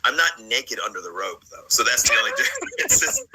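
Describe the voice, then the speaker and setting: A man's voice: medium pitch, wildly swooping pitch, a little fast, pushed and bright, breathy edge, rough recording. An American man in his late thirties chatting casually in a rambling, jokey, slangy way as he hosts. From United States, partly South Carolina and Virginia. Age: late 30s. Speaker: host of a vlog. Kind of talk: casual reaction chat.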